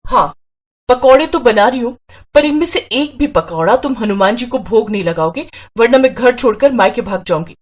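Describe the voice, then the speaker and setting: A woman's voice: on the high side, expressive, moderate pace. A woman acting a scolding, stubborn wife. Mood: angry, threatening. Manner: sharp complaining. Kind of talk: Hindi moral story acting.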